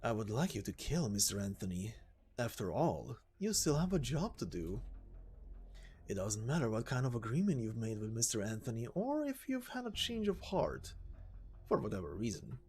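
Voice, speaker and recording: A man voicing a sadistic, mock-polite gentleman villain in a game story, savoring his words in a theatrical, drawn-out delivery. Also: mid-range voice, very animated. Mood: coaxing menace. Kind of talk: dramatic game story voice acting.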